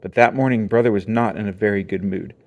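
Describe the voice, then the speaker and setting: A man narrating a children's storybook aloud with expressive storytelling. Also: lowish voice, fast. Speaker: storybook reader.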